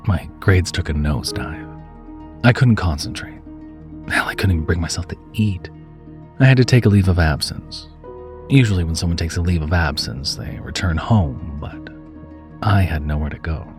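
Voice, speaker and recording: Very deep-voiced man narrating horror. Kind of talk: dramatic first-person horror story narration. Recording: music under the voice. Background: background music.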